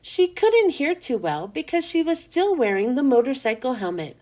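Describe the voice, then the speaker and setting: Female children's storybook reader, high voice, very lively intonation, emphatic and expressive. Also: moderate pace. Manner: animated, emphatic delivery. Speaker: children's read-aloud host. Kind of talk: expressive storybook read-aloud.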